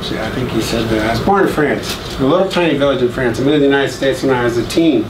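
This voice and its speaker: A man giving a relaxed guest lecture and telling joking anecdotes to an audience. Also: mid-range voice, quick pace. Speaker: guest speaker. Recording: echoey room, distant mic, air-conditioning hum.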